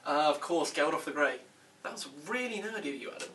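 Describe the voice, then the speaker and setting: Man reading as fast-talking sardonic young geek. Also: on the high side, lively intonation, pushed and bright, recorded from a distance. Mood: teasing. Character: sardonic mid-twenties geeky male podcaster. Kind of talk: table read of a comedy script. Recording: noisy room, off mic.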